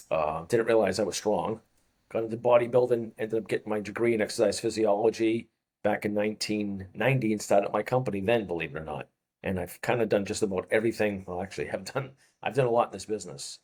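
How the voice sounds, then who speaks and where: A man's voice: fairly low pitch, brisk. A man in his fifties with a Boston accent, a fitness coach chatting as an interview guest and rambling through anecdotes. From Boston, USA. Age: 50s. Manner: rambling anecdotal storyteller, chuckles often. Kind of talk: casual interview chat.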